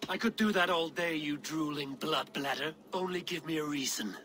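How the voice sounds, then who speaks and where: An actor playing a bold, threatening Viking warrior in a video game, with a blunt and commanding delivery. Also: male voice, on the high side, normal speed, sharp tone. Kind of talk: dramatic video game acting.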